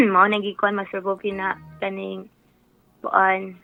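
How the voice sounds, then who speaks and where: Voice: medium pitch, completely flat delivery, slow, vocal fry, phone-quality sound, faint music behind. A radio phone-in caller with a Bisaya Filipino accent, chatting casually and hesitantly, searching for words. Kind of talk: casual chat.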